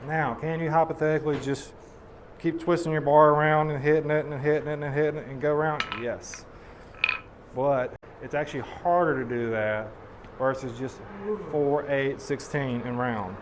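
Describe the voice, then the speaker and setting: Hoarse man teaching blacksmithing. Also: on the high side, fairly even intonation, normal speed, noisy background. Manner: folksy, explains step by step while hammering. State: hoarse, losing his voice. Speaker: blacksmithing demonstrator. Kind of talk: live teaching demonstration. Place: blacksmith forge demonstration. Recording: loud hammer clanging behind voice.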